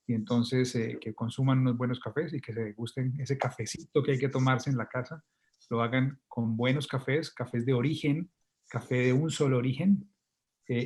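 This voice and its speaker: A man with a Colombian accent talking informally as a guest, courteous and explaining things step by step. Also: mid-range voice. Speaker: coffee company representative, guest.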